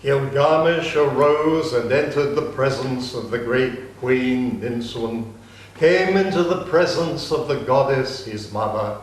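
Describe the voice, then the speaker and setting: A man's voice: medium pitch, slow, far from the mic, a little hiss or hum. A man narrating a dramatic reading of an ancient epic. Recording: very echoey hall, voice from afar, faint ambience.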